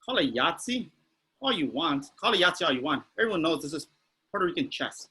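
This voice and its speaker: A man's voice: on the high side, sing-song, fairly quick, bright, forceful tone, breathy edge, full character voice. A man reading the part of a boastful, oblivious older man in a sitcom table read, with some noise behind him.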